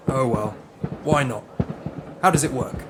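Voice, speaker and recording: A man's voice: medium pitch, takes it slowly, very noisy, natural voice. A man playing a wartime soldier, an officer questioning someone in an audio play. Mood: affable. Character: male wartime soldier officer, suspicious then affable.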